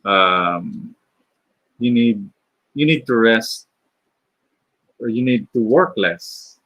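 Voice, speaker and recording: Slow, low-voiced Filipino man chatting. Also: expressive, fairly bright tone, no room sound. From Philippines. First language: Filipino (Tagalog). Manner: halting, many fillers and restarts. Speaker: live-streaming artist. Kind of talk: casual live-stream chat while drawing. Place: home.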